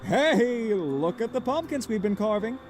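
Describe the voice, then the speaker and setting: An actor voicing an awkward, sheepish uncle in an anime-style visual novel game. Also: male voice, very high voice, animated, unhurried, a little mellow, faint music behind. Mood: awkward, hurried. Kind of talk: video game voice acting.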